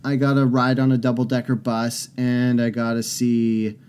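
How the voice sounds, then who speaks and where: A young man co-hosting a casual podcast, joking about himself with a dry, grumbling humor. His voice sits in an ordinary middle pitch, and he talks loosely as he drifts from topic to topic. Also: level tone, moderate pace, darkish tone, clear, resonant tone. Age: young adult. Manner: wry, self-deprecating, grumbling. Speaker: podcast co-host.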